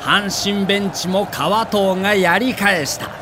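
A man's voice: high-pitched, measured pace, noisy background. A man narrating a scripted sports documentary in a dramatic, emphatic manner.

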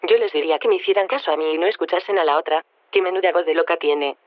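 A woman's voice: fairly low pitch, fast, lo-fi sound, lightly acted. An actress playing a blunt, dismissive woman debater in an audio drama. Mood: blunt, scornful. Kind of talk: audio fiction acting.

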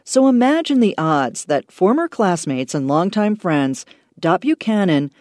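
A woman narrating a radio news feature with an expressive, storytelling read. Her pitch moves a lot, her tone is warm and mellow and slightly low, and the recording is dry and close. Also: measured pace, no room sound. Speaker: radio reporter.

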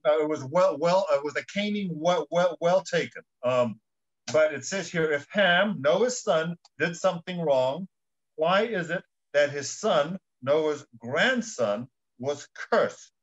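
A man's voice: fairly high pitch, lively intonation, takes it slowly. A man from South Africa co-hosting a Bible discussion, speaking conversationally and emphatically, repeating words as he goes, on dull lo-fi audio. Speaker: school principal co-hosting a Bible study.

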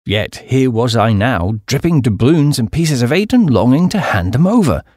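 A man's voice: mid-range voice, very animated, normal speed, a little mellow, no echo, clean studio sound. A man with a British accent reading a comic story as an audiobook narrator.

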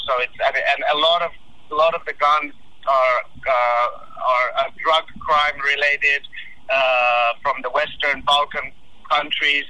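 Bright-voiced man on a phone line. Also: on the high side. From Finland. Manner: halting, repeats words often. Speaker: guest commentator. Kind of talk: radio interview.

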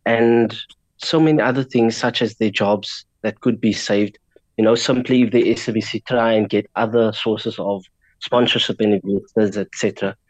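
A man's voice: on the low side, steady tone, normal speed, no room sound, rough recording. A man with a South African accent, a native Afrikaans speaker, giving earnest remarks as an interview guest. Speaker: guest, fan community founder.

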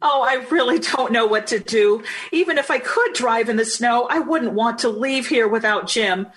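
Radio play role: anxious, well-mannered young family's mom played by a woman, slightly high voice, earnest. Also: normal speed, natural voice. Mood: worried. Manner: earnest, gracious, hesitant. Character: worried, polite 1950s mother of young children. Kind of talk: old-time radio drama acting.

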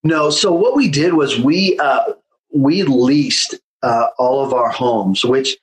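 Guy on an interview telling his story, lots of uhs and restarts, mid-pitched voice. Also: takes it slowly, low-quality recording. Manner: storytelling, frequent fillers and restarts. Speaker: guest, children's home founder. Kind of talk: conversational interview.

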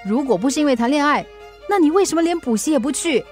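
A strict, exasperated mother in a radio drama, speaking. She interrogates and scolds, quick to anger, over faint music. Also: high voice, lively intonation, a little mellow. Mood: accusing, angry. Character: strict, exasperated working mother of a teenage girl. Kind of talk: Mandarin radio drama acting.

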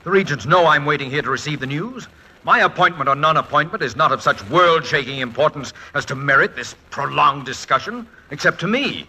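An American actor playing a witty, proud, fretful middle-aged college president and husband in a wry, articulate 1940s radio comedy-drama style. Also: male voice, a little high, expressive, fairly quick, pushed and bright, full character voice. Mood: anxious, indignant. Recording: noisy 1940s radio recording.

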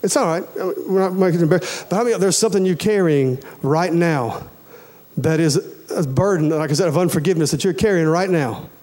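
A male preacher in his forties speaks in a notably high register for a man. His delivery is folksy and emphatic, hammering phrases through repetition. He talks straight to his congregation, questioning and urging them. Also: a little fast, darkish tone. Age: 40s. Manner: folksy, colloquial, emphatic, repeats phrases for stress; addresses listeners directly with questions and urgings. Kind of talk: sermon to a congregation.

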